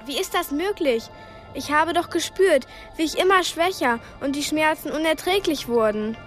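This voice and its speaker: A twelve-year-old heroine in a radio play, high-voiced and earnest. She sounds determined, pleading yet defiant, with light music underneath. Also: expressive, normal speed. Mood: bewildered. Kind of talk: German radio drama acting.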